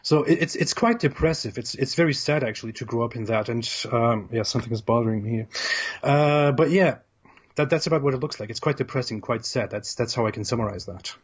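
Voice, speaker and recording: A man's voice: mid-range voice, a little fast, slightly rough. German-accented man, dull lo-fi audio. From southern Germany. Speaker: interview guest. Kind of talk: conversational interview, personal account.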